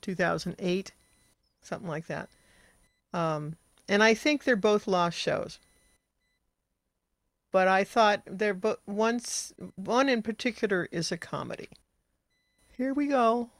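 A woman gives an informal introduction as a radio presenter. She takes her time at a medium pitch, with a dry sound free of room echo. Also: slow, pauses often.

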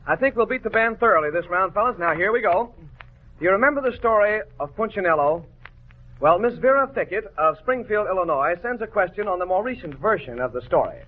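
A man's voice: sits high, animated, fairly quick. A man hosting an old-time radio quiz show with jovial, wordy, mock-formal banter. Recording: tinny vintage radio broadcast.

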